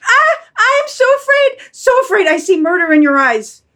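Woman playing a frightened, pleading little dog, swooping high voice. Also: sing-song, moderate pace, slightly rough. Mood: terrified, pleading. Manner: pleading, stammering when puzzled. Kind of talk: amateur radio play reading.

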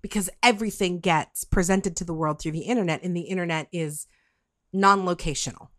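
Woman, middle-aged, lower-pitched voice, dry close sound, casual podcast interviewer, rambling, halting delivery. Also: a bit bright, no echo. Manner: halting, rambling, repeats words while thinking.

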